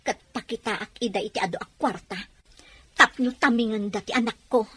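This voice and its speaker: A woman's voice: on the high side, brisk, bright, forceful tone, husky. An actress with an Ilocano accent playing a worried, pleading mother who works away from home in a radio drama. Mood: anxious, bitter.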